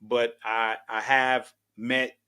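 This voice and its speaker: A man's voice: medium pitch, little pitch movement, slow, bright, forceful tone. A man in his sixties hosting his podcast, chatting in an upbeat, rambling way with lots of fillers and restarts. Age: 60s. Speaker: podcast host. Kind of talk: casual chat.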